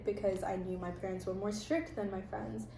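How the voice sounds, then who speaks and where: A woman's voice: medium pitch, little pitch movement, moderate pace, creaky, roomy sound, background noise. A young American woman hosting a casual chat, telling stories about her life in an earnest, reflective way. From United States. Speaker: host.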